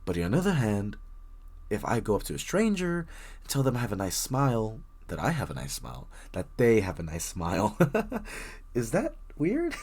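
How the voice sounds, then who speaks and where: A man's voice: on the low side, huge pitch swings, moderate pace, darkish tone, breathy edge, full character voice. A man playing a very shy, bookish young stranger in an intimate roleplay audio drama, stammering, rambling and apologising nervously as he addresses the listener. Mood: shy, warming up.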